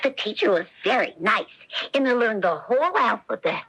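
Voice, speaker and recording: A woman's voice: medium pitch, lively intonation, normal speed, vocal fry, a bit bright, slightly rough, faint music behind, exaggerated voice, poor audio. A woman playing a naive, fibbing puppet boy in a 1970s radio play, exclamatory and melodramatic. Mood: fibbing innocence. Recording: 1970s radio broadcast recording.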